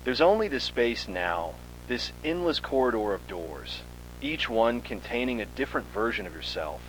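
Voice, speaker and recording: A man narrating a scripted second-person horror story in a measured, ominous, dramatic way. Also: mid-range voice, big pitch swings, slight background noise, rough recording.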